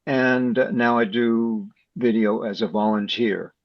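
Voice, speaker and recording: A man's voice: on the low side, steady tone, measured pace, dry recording. Older American man, dull muffled recording. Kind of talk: casual self-introduction.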